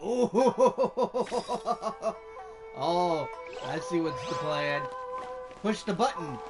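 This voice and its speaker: A woman comments casually on the game she is playing. Her voice is on the low side and lively in pitch, with loud music behind it. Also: drawn-out words. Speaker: gamer, video creator.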